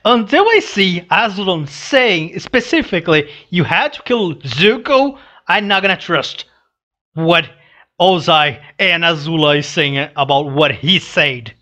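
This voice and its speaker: A young adult man giving casual read-along commentary, halting and restarting his phrases as he goes. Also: a little high, lively intonation, bright, forceful tone. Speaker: reaction video host. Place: small room indoors. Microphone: headset boom mic.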